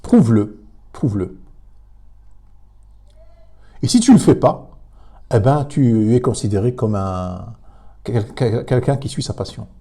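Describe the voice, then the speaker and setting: A man commenting on a religious debate, emphatic but halting, with many repeated words and fillers. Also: mid-range voice, expressive, warm tone. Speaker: commentator reacting to a clip.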